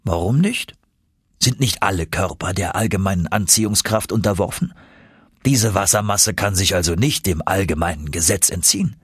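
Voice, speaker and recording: A man acts out an older professor uncle in an adventure storybook. He is confident and eager, with a low, husky voice. He lectures with emphasis. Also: expressive, normal speed, no echo, clean studio sound. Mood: lecturing.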